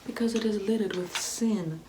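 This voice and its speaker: A woman playing a judgmental, devout, entitled Karen mother in an ASMR comedy roleplay, preachy and sassy, with whispered asides and drawn-out emphasis. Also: medium pitch, background noise, lightly acted. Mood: disapproving, preachy.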